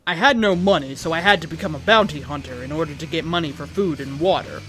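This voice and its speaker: A man's voice: a little high, quick pace, sharp tone, poor audio. A man reading a fan fiction story aloud as host, in a dramatic narrating style. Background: background music.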